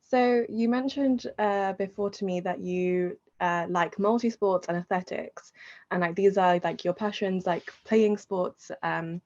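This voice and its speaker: A woman's voice: mid-range voice, a little slow, darkish tone, dull audio. A woman hosting a podcast, introducing her guest and asking loosely phrased questions in a friendly way. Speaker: podcast host. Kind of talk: interview hosting.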